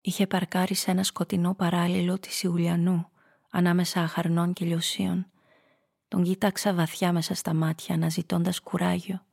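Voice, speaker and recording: Femme fatale narrator, radio play, husky gravelly voice, measured confessional delivery, hard-boiled. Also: female voice, fairly low pitch, little pitch movement, darkish tone. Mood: dark, haunted. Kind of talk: radio drama first-person narration.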